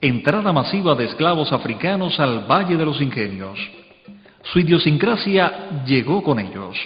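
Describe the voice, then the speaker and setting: A man's voice: a little high, big pitch swings, moderate pace. A man playing a solemn announcer in a radio docudrama, speaking in terse, declamatory phrases. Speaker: documentary narrator. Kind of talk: dramatized radio docudrama narration. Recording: muffled playback in echoey hall, music behind.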